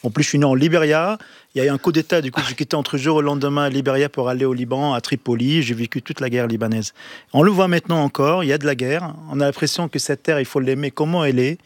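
A man from Lebanon, a guest on a radio show, giving a rapid, emotional personal account. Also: medium pitch, dry recording.

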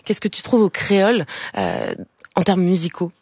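A woman's voice: fairly low pitch, huge pitch swings, normal speed, vocal fry, darkish tone, slightly rough, dry recording. A woman radio host asking curious, probing questions in a studio interview. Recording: dull, dry studio sound.

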